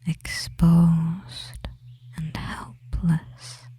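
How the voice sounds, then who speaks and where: Performer as a breathy, whispering, devious witch. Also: medium pitch, monotone, exaggerated voice. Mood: soothing, dominant. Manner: very slow, hushed, hypnotic, with long pauses. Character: devious, dominant young femboy witch, soothing and possessive. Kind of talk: whispered ASMR hypnosis roleplay.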